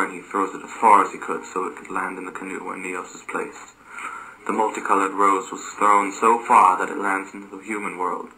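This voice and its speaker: Man, scripted narration, low pitch, very sharp bright tone, occasional stumbles in reading. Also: poor audio.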